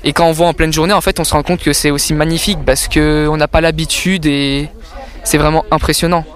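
A man's voice: fairly high pitch, steady tone, a little fast. A man explaining at length in a radio interview, hesitant, with many fillers and restarts. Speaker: amateur astronomer interviewee. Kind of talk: casual interview.

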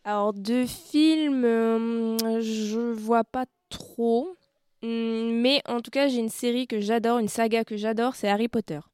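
Playful young woman with smooth voice. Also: a little high, steady tone, slow pace, darkish tone, no room sound. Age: 20s. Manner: hesitant, playful, lots of hmms. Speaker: interviewee, youth center activity leader. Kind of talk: casual radio interview. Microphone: close studio mic.

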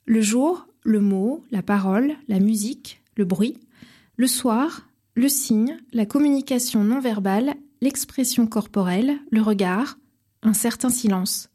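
A woman hosting a radio program, reading a scripted book review in measured, short phrases. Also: on the high side, mellow, slightly rough. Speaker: radio host.

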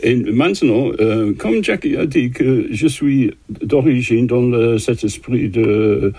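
Older man, husky, halting French. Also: medium pitch, dark tone, no echo. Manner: halting, many fillers and restarts. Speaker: composer guest. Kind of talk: interview answers in a second language.

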